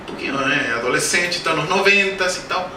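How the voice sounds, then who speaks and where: Spanish-accented male lecturer, forties, higher-pitched, anecdotal and jokey; roomy, noisy hall recording from a distance. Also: normal speed, sharp tone. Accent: Spanish-speaking accent in Portuguese. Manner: jokey anecdotes, asks the audience questions. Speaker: guest speaker at a spiritist center. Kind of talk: humorous spiritist lecture. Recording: roomy hall, distant mic, background noise.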